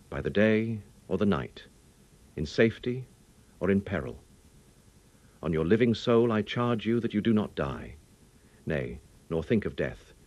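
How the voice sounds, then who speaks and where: A man's voice: low voice, darkish tone, lo-fi sound. A man playing a grave, protective, fatherly older doctor in an audiobook, pleading solemnly in archaic, formal phrases. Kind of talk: dramatic audiobook character reading.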